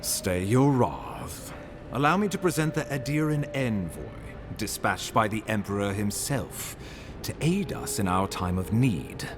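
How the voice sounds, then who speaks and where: An actor playing a weary, dutiful imperial ambassador in a fantasy game, speaking in a measured, formal, resigned way. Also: male voice, on the low side, big pitch swings, over music. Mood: placating. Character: weary, dutiful male imperial ambassador, self-doubting yet courteous. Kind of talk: dramatic fantasy game dialogue.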